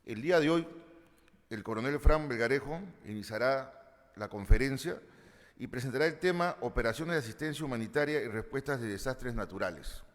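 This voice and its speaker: A man introducing a conference session in a formal, measured, ceremonious way, with the odd filler. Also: mid-range voice, lively intonation. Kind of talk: formal prepared address. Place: large conference hall. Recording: long hall reverb.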